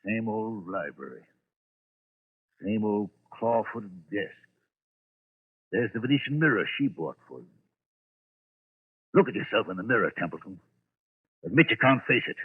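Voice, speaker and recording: A man's voice: fairly low pitch, expressive, unhurried, long pauses, slightly rough. An actor playing a domineering, haunted man close to breaking, imperious but stammering when rattled, in vintage radio suspense style. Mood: brooding, uneasy. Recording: old radio, thin narrow-band sound.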